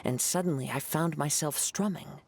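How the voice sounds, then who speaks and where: Man voicing serene young wandering poet, slightly creaky. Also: on the high side, slight background noise, lightly acted. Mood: thoughtful. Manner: calm, poetic, measured phrasing. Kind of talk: video game character acting.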